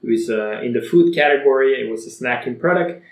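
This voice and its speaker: A man in his twenties from Bulgaria, whose first language is Bulgarian, telling his personal story to camera in an energetic, motivational, conversational way. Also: medium pitch, brisk, roomy sound. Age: 20s. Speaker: vlogger.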